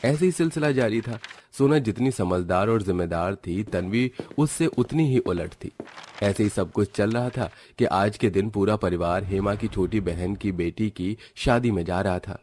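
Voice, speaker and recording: Guy with a low voice reading out a story, some noise and clicks behind. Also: normal speed, darkish tone. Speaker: narrator. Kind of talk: scripted storybook narration.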